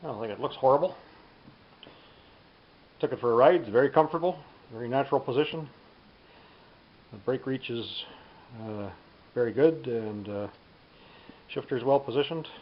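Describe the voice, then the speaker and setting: A man giving a casual, unscripted workshop demonstration, thinking aloud in a halting, unhurried way. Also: mid-range voice. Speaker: DIY bicycle tinkerer presenting a build. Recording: dull lo-fi sound with background noise.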